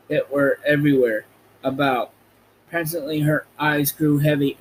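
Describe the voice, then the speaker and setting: A man reading a children's storybook aloud as a podcast host, in an amateur, slightly halting way. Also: a little high, a little hiss or hum, rough recording.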